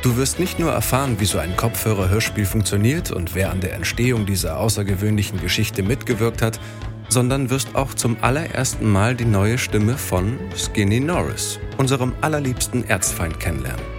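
A man's voice: fairly low pitch, animated, normal speed, over music. A man presenting an audio feature in scripted narration.

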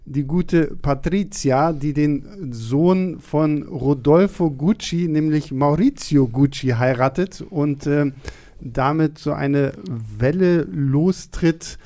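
A man's voice: a little high, slow pace, warm tone. A man hosting a film podcast in a studio, chatting loosely with many fillers and hesitations. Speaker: podcast host. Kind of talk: casual film podcast chat.

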